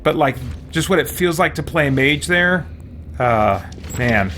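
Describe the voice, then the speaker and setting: A man hosting a game livestream, chatting casually, reading viewer comments and thinking aloud in a halting way. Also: fairly high pitch, expressive, fairly bright tone, slight background noise. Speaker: game streamer, host.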